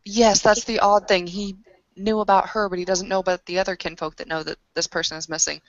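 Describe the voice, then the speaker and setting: A woman's voice: medium pitch, little pitch movement, a little fast, no echo. A woman talks in character at a tabletop roleplaying game. Her delivery is casual, wry and questioning. The recording is close and dry, with a slightly dull tone.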